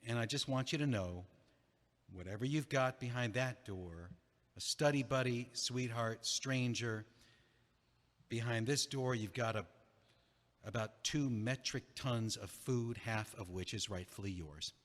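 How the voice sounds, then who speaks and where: A man's voice: medium pitch, a little slow, a bit bright, close to an everyday voice. An actor playing an older male friend, exasperated but caring, in an audio play. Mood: earnest, caring. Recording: echoey hall with long reverb.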